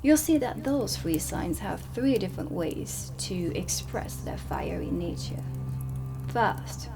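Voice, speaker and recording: Slow, soft-spoken dark witch played by a woman. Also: medium pitch, animated, creaky. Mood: calm, instructive. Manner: slow, deliberate, slipping into whispers. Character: stern, dry dark witch teaching astrology to students. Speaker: ASMR roleplay performer. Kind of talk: soft-spoken ASMR roleplay lesson. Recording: close voice under prominent ambient music. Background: ambient music.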